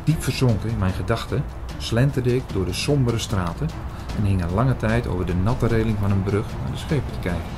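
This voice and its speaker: A man playing an earnest, shy priest who narrates an audio drama in a measured, reflective way, hesitant in dialogue. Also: on the low side, music in the background, lightly acted.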